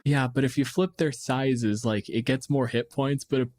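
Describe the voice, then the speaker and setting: A man's voice: medium pitch, steady tone, moderate pace, darkish tone. Blunt, sweary man chatting casually. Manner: blunt, profane, joking. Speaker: podcast host. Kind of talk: casual chat about tabletop gaming.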